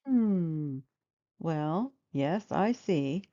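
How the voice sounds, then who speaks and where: A woman's voice: sits low, animated, drawn-out words, warm tone, muffled recording, exaggerated voice. A woman voicing a fussy, pompous male family doctor in a storybook, exclamatory and officious. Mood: muttering, officious.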